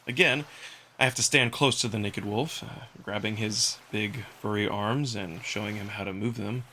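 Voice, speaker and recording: A man reading scripted first-person narration from a visual novel in an expressive storytelling manner. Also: on the low side, normal speed, slight background noise. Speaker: narrator and voice performer.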